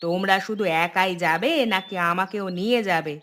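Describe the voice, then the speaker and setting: A woman voicing a shrewd, practical village wife in a cartoon, brisk and teasing. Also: mid-range voice, a bit bright, slight background noise, close to an everyday voice. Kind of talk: Bengali cartoon voice acting. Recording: background music.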